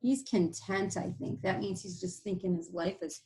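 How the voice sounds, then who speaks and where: A woman teacher reading a picture book aloud, pausing to explain things simply to young listeners. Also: medium pitch, mellow, slight background noise, rough recording.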